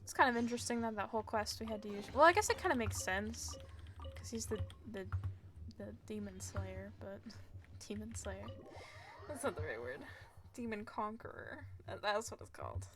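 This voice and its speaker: A woman's voice: medium pitch, expressive, normal speed, noisy background. Giggly young woman streamer. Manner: rambling, giggly, swears casually. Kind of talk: casual gameplay commentary.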